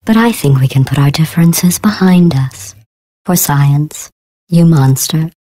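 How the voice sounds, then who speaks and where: A cold female AI overseer from a video game, her voice run through a synthetic robotic filter. She speaks slowly in a low, dark tone, with deadpan and mock-polite menace. The recording is dry and close. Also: no room sound. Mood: cold, sarcastic. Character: cold sardonic female AI running test chambers. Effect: synthetic robotic vocal filter.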